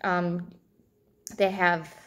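A woman's voice: fairly low pitch, little pitch movement, extremely slow, fairly bright tone. A woman in her thirties giving informal advice to camera as a coach, conversational and thinking aloud as she goes. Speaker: fitness and nutrition coach. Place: indoors, small room at home, seated at a table.

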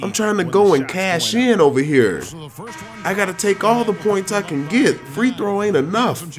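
A man giving excited, slangy commentary on his own video game play, full of playful trash talk. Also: high voice, normal speed, music in the background.

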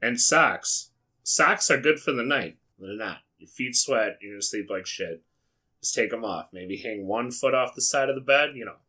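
An American man with a middling pitch and a sharp, bright voice hosts a comedic reading. He moves between reciting and casual commentary, which is deadpan, wry and peppered with swearing. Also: moderate pace. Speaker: YouTube host. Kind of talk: comedic read-aloud with casual asides. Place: small indoor studio with foam panels.

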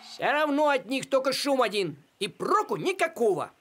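An actor voicing a grumpy, stubborn male house cat in an animated series, grumbling indignantly. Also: sits high, normal speed. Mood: dismissive. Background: cartoon music.

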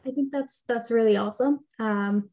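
A young woman hosting a podcast over a video call, speaking in a slightly high voice. She is chatty and laughs easily. She speaks into a headset. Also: normal speed, a little mellow. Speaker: podcast host. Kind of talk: casual video call interview.

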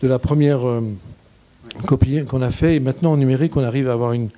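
A man in his sixties, a guest cinematographer, answering questions thoughtfully and haltingly, often restarting as he searches for words, speaking into a handheld mic in a small indoor room. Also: medium pitch, fairly even intonation, dark tone. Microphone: handheld mic, standing.

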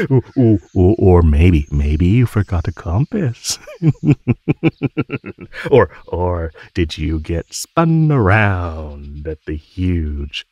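A man voicing a teasing, menacing male naga in an ASMR roleplay, speaking in a mocking, condescending drawl with pauses. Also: sits low, sing-song, mellow, no echo, muffled recording, faint music behind, exaggerated voice. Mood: mocking, amused. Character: teasing, menacing male naga predator toying with captive prey.

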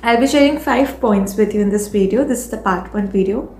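A woman's voice: medium pitch, fairly quick, warm tone. Young woman vlogger, casual filler-heavy talk, phone mic in an echoey room. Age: young adult. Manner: chatty, rambling, many fillers and restarts. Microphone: phone camera on a table. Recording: echoey room with faint background music. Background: faint background music.

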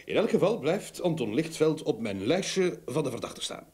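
An actor playing a self-assured, dry investigator with an authoritative manner in an old radio drama. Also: male voice, on the high side, big pitch swings, normal speed, a little mellow, husky. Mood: dry, stern. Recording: noisy old radio play recording.

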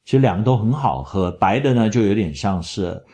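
A middle-aged man, chatting in a travel vlog voice-over and telling stories in an animated way. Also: lowish voice, brisk. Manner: chatty, animated storytelling with laughs. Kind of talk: casual travel commentary voice-over.

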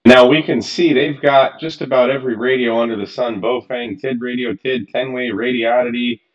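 A man's voice: mid-range voice, steady tone, moderate pace, lo-fi sound. A man in his thirties giving a casual, chatty walkthrough of radio gear from a home office, with loose phrasing and lots of fillers. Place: home office room, indoors.

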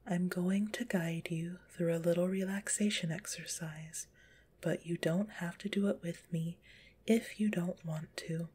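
A woman guides a relaxation in a very breathy whisper. Her delivery is slow and gentle, pitched on the low side. She sounds a little stuffed up in the nose, soothing throughout. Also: steady tone. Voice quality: stuffy-nosed. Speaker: guided visualization narrator.

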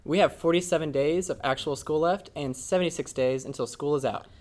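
A young man anchoring a student morning news show in a small studio, adding deadpan jokes between items. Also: fairly high pitch, fairly quick. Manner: joking, deadpan asides. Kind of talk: school morning news broadcast. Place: indoor studio before green screen.

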